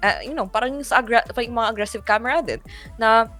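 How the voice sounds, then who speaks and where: A woman with a Filipino accent analysing an esports match on a panel, talking in a halting, rambling way in mixed English and Tagalog. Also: on the high side, a bit bright. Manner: halting, rambling, many hedging phrases. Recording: music bed under the talk. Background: music.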